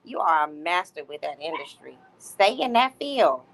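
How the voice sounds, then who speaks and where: A woman's voice: sits low, sing-song, moderate pace, a little hiss or hum, low-quality recording. A woman hosting a livestream, reading messages aloud and chatting casually in commentary. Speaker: livestream host.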